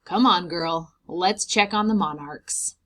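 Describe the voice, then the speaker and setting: A woman voicing a kindly, eager man who loves butterflies in a storybook, speaking with animated emphasis. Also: fairly low pitch, takes it slowly. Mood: cheerful urging. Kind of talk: storybook character read aloud.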